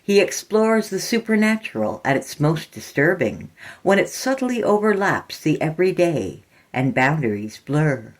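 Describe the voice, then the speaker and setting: A woman presents a podcast in a low voice. Her intonation moves widely up and down, giving the scripted announcement a theatrical, deliberate feel. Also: very animated. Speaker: podcast host.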